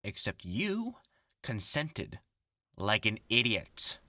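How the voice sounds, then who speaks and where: A gloating, vengeful superhuman scientist played by a voice actor in a horror audio drama. The delivery is slow and theatrical, swinging widely in pitch with mocking menace. The voice is bright and sharp, recorded very dry and close. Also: big pitch swings, no echo, heavily acted. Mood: scornful, bitter.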